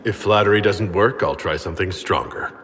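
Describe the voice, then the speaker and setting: A steadfast fantasy-game commander, with a deep male voice. He speaks firmly and plainly, with principled resolve, in a long reverb over noticeable ambient noise. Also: fairly quick, a bit bright. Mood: inquiring. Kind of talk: video game dramatic acting. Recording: long hall reverb, clear background ambience.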